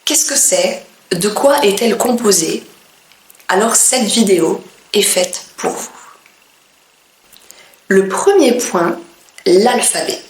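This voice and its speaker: A woman from France, a French teacher, speaking in a clear, deliberate way with pauses between phrases. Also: medium pitch, lively intonation, roomy sound, a little hiss or hum, rough recording. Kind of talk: slow, careful teaching monologue.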